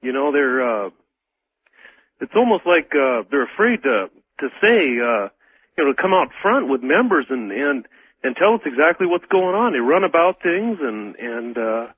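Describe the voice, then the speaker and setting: Male American caller, rough-edged and a bit high, informal and hesitant, over a narrow telephone line. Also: big pitch swings, dry recording. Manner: halting, searching for words. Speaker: first-time radio caller. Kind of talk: casual call-in chat. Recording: thin phone line on radio.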